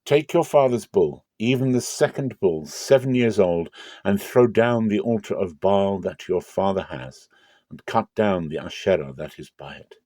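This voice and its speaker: A man reads as the Almighty in a dramatic scripture recording. The delivery is measured and authoritative, with a low, mellow tone and a dry, close sound. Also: lively intonation, no echo. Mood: commanding. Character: God and his angel, solemn commanding divine male.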